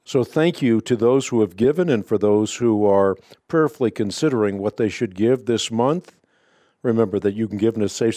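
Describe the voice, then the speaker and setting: A man hosting a radio call-in show, native Dutch speaker, teaching in a measured, emphatic, preacherly way. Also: fairly low pitch, dark tone, no echo, clean studio sound. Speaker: radio host answering callers.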